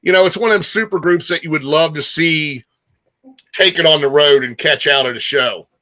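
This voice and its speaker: A man's voice: fairly high pitch, moderate pace, bright, forceful tone. A man hosting a music show in a casual, informal chat, repeating phrases for emphasis.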